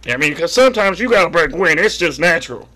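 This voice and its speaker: A man's voice: fairly high pitch, lively intonation, fast talker, bright, forceful tone. A man voicing a folksy, sheepish husband in a prank call, rambling earnestly over the phone. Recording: phone line audio.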